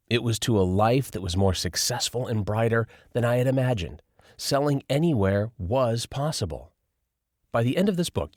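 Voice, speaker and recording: A man narrating a first-person nonfiction audiobook in an expressive, lively reading style. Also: medium pitch, dry recording, clean studio sound.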